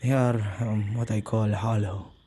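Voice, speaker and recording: A man playing a possessive yet soft-hearted male witch in an intimate ASMR roleplay, speaking gently and haltingly in slightly archaic phrasing. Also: steady tone, slight background noise, lightly acted. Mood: somber, reluctant. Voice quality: low, husky, creaky voice.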